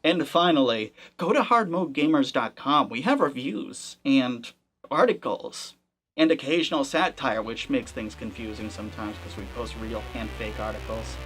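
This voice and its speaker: A man's voice: mid-range voice, lively intonation, normal speed, fairly bright tone, breathy edge, faint music behind. Young male gaming host, casual to-camera commentary, skeptical and wry, mid-range voice. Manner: conversational, skeptical, wry asides. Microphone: camera-facing setup.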